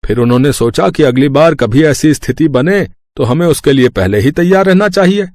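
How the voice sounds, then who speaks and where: A man playing a gentle village father who talks patiently and fondly, like telling family history, in a storybook tale. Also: fairly high pitch, animated, moderate pace, lightly acted. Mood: fond, reflective. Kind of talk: storybook character acting.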